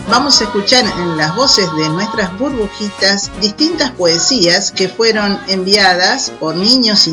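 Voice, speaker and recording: A woman with an Argentine accent presents a scripted radio programme as its host. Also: medium pitch. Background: guitar music.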